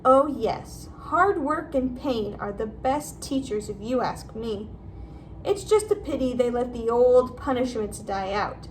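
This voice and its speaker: A woman's voice: on the high side, measured pace, a little hiss or hum, lightly acted, poor audio. A woman voicing a nasty, gleeful old male school caretaker in a storybook. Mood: gloating menace. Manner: leering, menacing.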